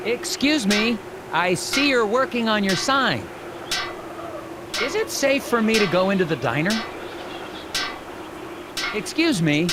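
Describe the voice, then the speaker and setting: A man playing an earnest, awkward young FBI agent in an animated game, polite and hesitant with a deadpan streak. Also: high-pitched, takes it slowly, long pauses, very noisy, exaggerated voice. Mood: curious, insistent. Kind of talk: animated adventure game dialogue and dictated notes.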